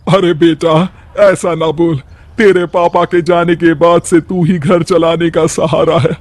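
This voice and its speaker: A man's voice: fairly high pitch, little pitch movement, unhurried, darkish tone, no room sound, natural voice. A man voicing a worried, caring older village mother-in-law, fretful and affectionate.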